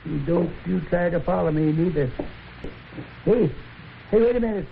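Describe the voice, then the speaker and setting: Creaky, ornery old frontier man. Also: high-pitched. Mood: defiant, agitated. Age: older. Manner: folksy rustic speech, halting. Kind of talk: 1950s radio western acting. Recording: tinny, noisy 1950s radio recording.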